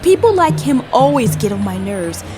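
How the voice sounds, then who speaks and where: A woman acts a proud, feisty young wife from an anime audio drama. Her voice is high and spirited with a brash edge, and loud music plays underneath. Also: sing-song, moderate pace. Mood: indignant. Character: feisty, proud, hot-tempered young wife in ninja village.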